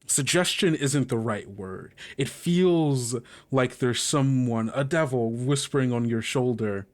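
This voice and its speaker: A man works as game master for a tabletop game. His mid-pitched voice improvises scenes in a conversational, halting flow, as if thinking the story out while he speaks.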